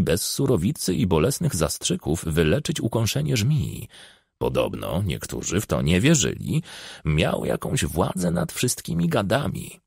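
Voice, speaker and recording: Gravelly man reading an audiobook, very expressive. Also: low-pitched, dry recording, clean studio sound. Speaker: audiobook narrator. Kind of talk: scripted dramatic narration of a novel.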